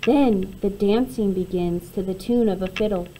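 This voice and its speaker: A woman reading a rhyming children's picture book aloud in an expressive, measured way. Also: mid-range voice, slight background noise, low-quality recording. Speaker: storybook reader.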